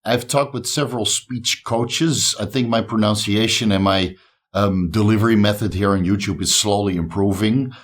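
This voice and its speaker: A man's voice: lowish voice, moderate pace. Dutch-accented man talking to camera. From the Netherlands. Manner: slight speech impediment, occasional stumbles; upbeat, encouraging. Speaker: YouTube host. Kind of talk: casual talk to camera.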